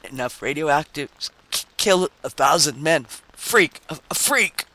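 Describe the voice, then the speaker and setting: A man's voice: fairly high pitch, lively intonation, unhurried, sharp tone, rough recording. A man voicing a cowed, suspicious husband with a broken, faltering voice in a dramatic story reading. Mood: terrified. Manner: faltering, heavy, mumbling. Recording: faint background noise.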